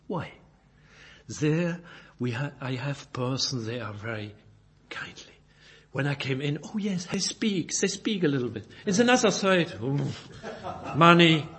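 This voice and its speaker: A man from Belgium, a native French speaker with a Belgian French accent, preaching and reading scripture in halting English, searching for words and correcting himself. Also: fairly high pitch, lively intonation, fairly bright tone. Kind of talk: informal Bible sermon with scripture reading.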